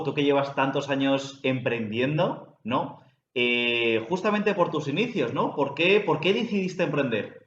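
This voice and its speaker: A man hosting an interview, introducing his guest with admiration and asking about her beginnings. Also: mid-range voice. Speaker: host. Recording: roomy, dull, with faint background noise.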